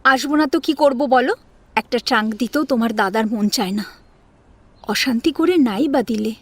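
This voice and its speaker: Woman playing an outspoken, protective sister-in-law, high-pitched. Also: moderate pace, no echo, close to an everyday voice. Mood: warm, insistent. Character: affectionate, outspoken married elder sister-in-law, protective and firm. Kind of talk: Bengali audio story acting.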